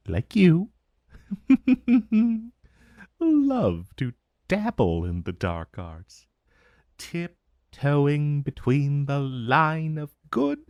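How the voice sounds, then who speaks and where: A man's voice: medium pitch, a little mellow, no echo, lo-fi sound, full character voice. A man voicing an ancient dragon in a fantasy roleplay monologue, urbane and amused, savoring his words with veiled menace. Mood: seductive, intrigued. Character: ancient male dragon, urbane, intrigued, vaguely menacing familiar.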